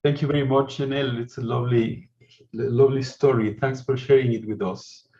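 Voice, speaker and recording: A man's voice: mid-range voice, fairly even intonation, fairly quick, warm tone. A man informally moderating an online meeting, polite and often repeating his phrases, heard over a video call. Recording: muffled video call audio.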